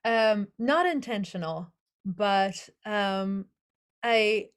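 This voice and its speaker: A young adult woman speaks in a relaxed interview, feeling her way through her answers. She hesitates often and restarts her sentences, sounding reflective rather than polished. Her voice sits at an everyday middle pitch. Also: extremely slow, clean tone. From Netherlands. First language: English as first language, also Hebrew and Dutch. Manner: thoughtful, hesitant, many fillers and restarts. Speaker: author. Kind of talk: casual interview conversation.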